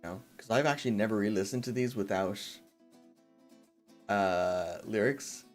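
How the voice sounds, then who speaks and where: Low-voiced man rambling on a stream. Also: unhurried, soft background music. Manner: rambling, self-deprecating, thinking aloud. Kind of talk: casual livestream chat.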